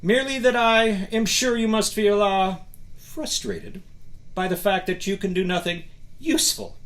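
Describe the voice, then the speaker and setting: A waspish, superior male wizard teacher from a storybook, acted out by a male reader. He speaks with silky sarcasm and delicate stress, his animated pitch sliding around as he sneers. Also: unhurried.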